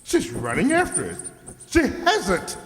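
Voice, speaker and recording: A man acts a grand, excitable monarch in a stage-like radio drama, recorded in a reverberant hall. The voice runs fairly high and swings wildly in pitch, declamatory and commanding. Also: measured pace, a little hiss or hum, exaggerated voice. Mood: amazed. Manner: theatrical, declamatory, imperious. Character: eager, grandiose foreign king bargaining like a potentate. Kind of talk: old-time children's radio play acting.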